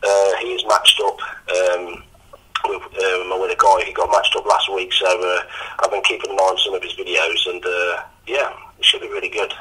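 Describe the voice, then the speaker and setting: A man, an MMA coach answering interview questions, a bit croaky after training and halting with many ums. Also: low-pitched, little pitch movement, bright, forceful tone, poor audio. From England. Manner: hesitant, full of ums and restarts.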